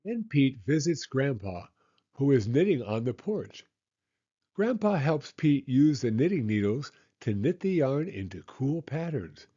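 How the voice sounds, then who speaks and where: A man reading a children's picture book aloud with expressive, measured storytelling. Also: mid-range voice, husky, highs cut off.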